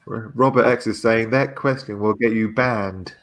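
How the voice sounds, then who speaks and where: A man's voice: on the low side, fairly even intonation, unhurried. A man chatting casually on a livestream, hesitant and often repeating his phrases as he goes.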